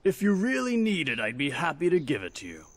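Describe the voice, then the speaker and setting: Old man, game grandfather, kindly, world-weary, unhurried, animated intonation. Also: a little high. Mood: weary, earnest. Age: older. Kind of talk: video game cutscene acting. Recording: music behind the voice.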